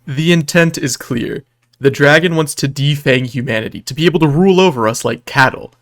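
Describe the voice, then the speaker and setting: A man's voice: a little high, a little fast, fairly bright tone. A man reading scripted recap narration in a storytelling style, with dramatic delivery and wry asides. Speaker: video narrator recapping a roleplay game event.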